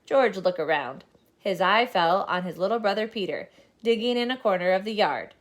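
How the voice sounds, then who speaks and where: A woman reading a children's storybook aloud as scripted, expressive narration. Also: mid-range voice, moderate pace. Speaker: storybook reader.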